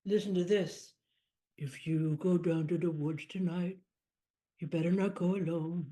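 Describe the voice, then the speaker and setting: A woman's voice: fairly low pitch, fairly even intonation, unhurried, warm tone, close to an everyday voice. A woman in an improv scene playing a female teddy bear who longs to be bought, talking in a dramatic, fond way. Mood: coaxing. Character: wistful unsold female teddy bear, longing.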